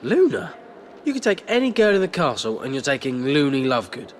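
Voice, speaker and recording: A man's voice: a little high, very animated, fairly quick, a little hiss or hum. An actor playing a cocky, blunt, good-natured teenage boy in a video game.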